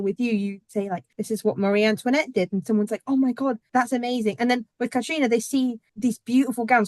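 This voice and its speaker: A woman's voice: mid-range voice, dry recording. Chatty woman hosting, dry sound. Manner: chatty, enthusiastic, quick to laugh. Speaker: podcast host. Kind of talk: casual group interview.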